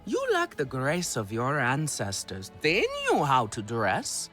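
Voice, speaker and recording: A man's voice: mid-range voice, wildly swooping pitch, slow pace, a bit bright, exaggerated voice. A man playing a flamboyant, doting alien clan elder who loves silk, in theatrical game cutscene style with music behind him. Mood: playfully disdainful. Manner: theatrical, effusive. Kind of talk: video game cutscene acting.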